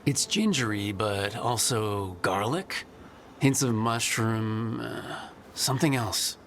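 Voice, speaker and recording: An actor playing a confident young hero in a video game, with a casual, wry and reassuring manner. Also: male voice, lowish voice, slow pace, a bit bright, slight background noise. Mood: wry, relaxed.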